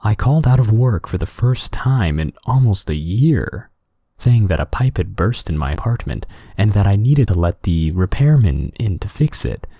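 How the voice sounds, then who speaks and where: A man's voice: lowish voice, sing-song, normal speed, dark tone, breathy edge, no room sound, studio quality. A man narrating a creepy first-person story in a relaxing bedtime-story style. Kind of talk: scripted horror story narration, relaxing ASMR-like bedtime reading.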